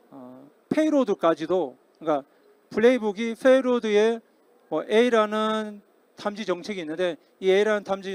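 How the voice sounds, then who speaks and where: A man speaking as a technical expert in a formal conference Q&A, explaining politely and hesitantly with frequent pauses. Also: high-pitched, darkish tone, smooth, no room sound. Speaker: conference speaker, security company technical director answering questions. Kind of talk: formal Q&A after a presentation. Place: conference hall stage, amplified talk.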